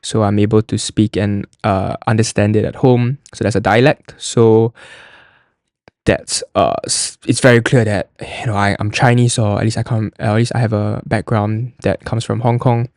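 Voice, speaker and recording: A man's voice: on the low side, steady tone, normal speed, darkish tone, no echo, studio quality. A young man from Singapore, hosting an admissions podcast and walking through an application form in a chatty, loose way with frequent restarts. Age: young adult. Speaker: college admissions podcast host.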